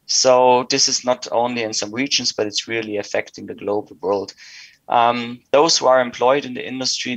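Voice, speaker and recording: A man's voice: fairly low pitch, fairly bright tone, no echo, rough recording. A man from Austria with an Austrian accent, a native German speaker, explaining things methodically as an interview guest. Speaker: interview guest, sales director.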